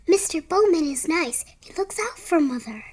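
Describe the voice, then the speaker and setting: Woman playing a very high, plaintive little boy. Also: expressive, normal speed, darkish tone. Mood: doubtful, plaintive. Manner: childlike, plaintive. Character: frightened, innocent little boy. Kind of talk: period melodrama audio drama acting.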